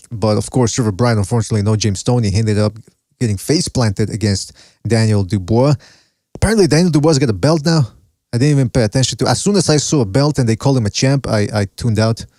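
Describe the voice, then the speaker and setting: A man's voice: medium pitch, darkish tone, no room sound. Male podcast host from Mexico with a mixed accent, loose and rambling, dry close sound. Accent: mixed foreign accent. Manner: casual, rambling, cursing, laughs often. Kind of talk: solo live-stream boxing chat, reading chat.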